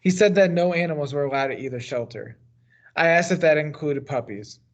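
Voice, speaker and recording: A man's voice: fairly high pitch, fairly quick. An American man reads a children's novel aloud as a teacher would, stressing the starts of phrases and letting the endings fall. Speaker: teacher reading a book aloud. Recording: dull, lo-fi sound.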